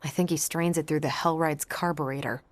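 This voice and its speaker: A woman's voice: sits low, steady tone, brisk, dry recording, lightly acted. A woman playing a confident, teasing, breezy superhero in a video game. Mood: upbeat, playful. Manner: wry, playful banter.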